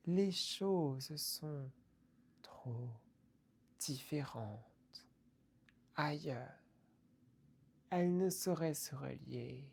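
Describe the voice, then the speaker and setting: A woman reciting poetry theatrically, deliberately, in short halting phrases that sometimes fall away toward a whisper. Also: sits low, vocal fry, darkish tone, breathy edge.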